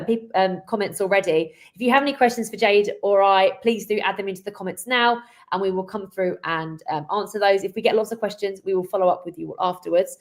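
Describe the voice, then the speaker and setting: A woman hosting a webinar in a conversational way, explaining things a little haltingly and repeating words. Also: medium pitch. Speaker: webinar host.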